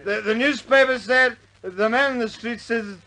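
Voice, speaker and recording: A man's voice: very high voice, moderate pace, a crowd in the background. An actor playing a drunk, boisterous RAF airman, rambling and stammering in 1950s war film style. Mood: tipsy, insistent. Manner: rambling, stammering, repeating himself. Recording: noisy crowded room, old film soundtrack.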